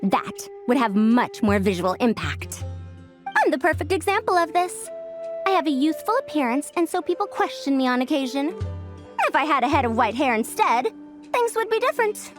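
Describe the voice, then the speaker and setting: High, animated, proud scholarly young-looking lady. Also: moderate pace, faint music behind. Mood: proud, emphatic. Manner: opinionated, pompous lecturing. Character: youthful-looking scholarly woman inventor, proud, prickly, old-fashioned elder. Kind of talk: English anime game dub acting.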